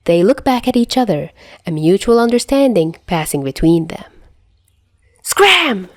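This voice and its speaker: A woman reading fan fiction aloud as an amateur narrator, in an expressive, dramatic storytelling style. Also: lowish voice, moderate pace, dry recording, clean studio sound.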